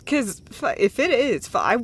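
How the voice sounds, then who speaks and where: A woman's voice: fairly high pitch, big pitch swings, breathy edge. A woman playing a tender, foul-mouthed girlfriend who comforts her partner in an intimate, conversational roleplay, rambling and hesitating as she goes. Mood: animated, exasperated ranting. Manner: halting, hesitant, rambling, sweary. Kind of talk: intimate roleplay audio monologue, casual and conversational.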